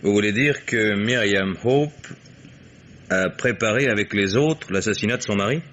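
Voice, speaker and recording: A man's voice: on the low side, normal speed, a bit bright, natural voice. An actor playing a firm, probing male detective, insistent and declarative, in old French radio mystery style. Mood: puzzled probing. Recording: old radio drama with faint hiss.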